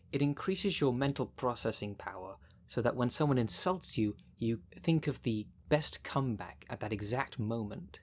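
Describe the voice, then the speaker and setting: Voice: medium pitch, lightly acted. A dry, bossy city witch, acted out in ASMR roleplay. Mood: intrigued. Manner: dry, matter-of-fact, casually bossy. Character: sardonic, brisk witch shopkeeper of a city potion shop, female. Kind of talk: ASMR roleplay, speaking directly to the listener.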